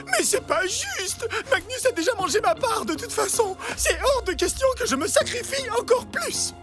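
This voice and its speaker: A woman's voice: extremely high pitch, very animated, moderate pace. A woman voicing a whiny, hungry young forest animal in a cartoon, always complaining. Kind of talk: French cartoon dubbing. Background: music.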